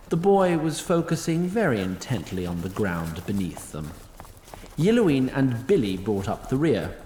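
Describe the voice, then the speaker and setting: A man's voice: medium pitch, a little hiss or hum. Wry male narrator with roomy echo. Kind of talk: scripted storybook-like narration of a fantasy audio drama.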